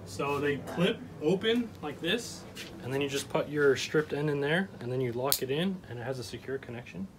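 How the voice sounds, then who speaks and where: A man's voice: on the high side, expressive, normal speed, background noise. A young man co-hosting a van build vlog, explaining his work in a plain, practical way. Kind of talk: casual explaining and chat. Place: bus interior under construction.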